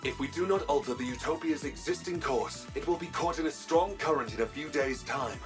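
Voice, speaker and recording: A man playing a polite, formal ship's machine intelligence in a video game, speaking in measured, courteous phrases. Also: on the high side, distant mic, over music, natural voice. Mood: courteous, composed. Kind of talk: video game cutscene acting.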